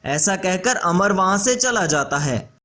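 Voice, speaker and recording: A man's voice: high voice, expressive, measured pace, bright, forceful tone. A man reading scripted narration for a children's story as the storyteller.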